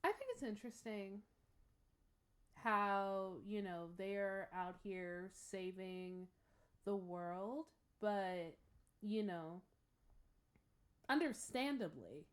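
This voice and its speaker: Slow-talking woman giving casual reactions. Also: mid-range voice. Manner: thoughtful, halting, trailing off. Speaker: reaction host.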